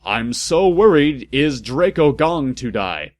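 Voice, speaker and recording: A man's voice: medium pitch, very animated, takes it slowly, pitched above the performer's own voice. A man voicing a melodramatic, sweary teenage goth girl from a fan fiction story, overwrought and exclaiming. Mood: excitable, worried.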